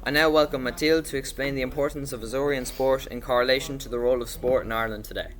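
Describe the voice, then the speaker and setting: A man's voice: mid-range voice, steady tone, fast talker, a bit bright. A young man presenting as a podcast host in a scripted, introductory style.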